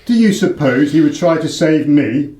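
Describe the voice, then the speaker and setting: A male actor as a proud aristocrat cornered by debt, in a radio play. His voice sits fairly high, with formal, imperious and defensive delivery, and the room echoes clearly around him. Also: lively intonation, moderate pace, warm tone, lightly acted. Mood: desperate, defensive. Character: proud, desperate English baronet, indebted horse owner.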